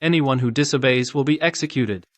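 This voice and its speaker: A man playing a resolute, principled general in a dubbed motion comic, speaking in measured, terse commands. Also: medium pitch, no room sound, lightly acted. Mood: firm command. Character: resolute male general, stern, principled, quietly defiant.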